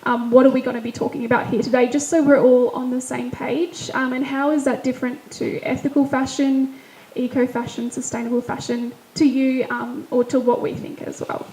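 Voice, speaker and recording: High, even-toned woman moderator. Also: normal speed, echoey room, slight background noise, low-quality recording. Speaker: panel moderator. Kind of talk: moderating a panel discussion.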